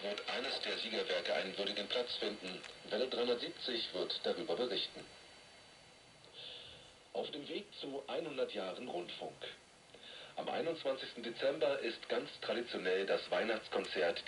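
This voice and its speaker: A man's voice: lowish voice, animated, moderate pace, fairly bright tone. A man reading scripted announcements as a radio announcer, heard through a shortwave receiver. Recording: distant, faintly noisy shortwave radio reception.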